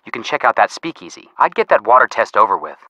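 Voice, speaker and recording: A man's voice: lowish voice, very animated, quick pace, bright, forceful tone, no echo, dull audio, heavily acted. A young man with an American accent playing a cheeky, casual amateur detective who jokes as he gives video game hints. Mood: casual, helpful. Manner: joking, casual, slangy. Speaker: detective helper giving hints. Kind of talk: scripted video game voice acting.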